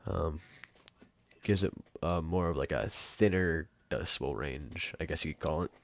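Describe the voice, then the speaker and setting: A young man hosting a casual software tutorial in an informal, rambling way. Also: extremely low pitch, unhurried, vocal fry, no room sound. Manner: informal, rambling, mild swearing. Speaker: tutorial host. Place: room at home.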